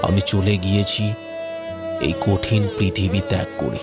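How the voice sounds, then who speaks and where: A man's voice: deep voice, a little slow, over loud music. A man voicing a kindly, sorrowful ghost of a poor villager in an earnest, plaintive cartoon voice-over. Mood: sorrowful, grave.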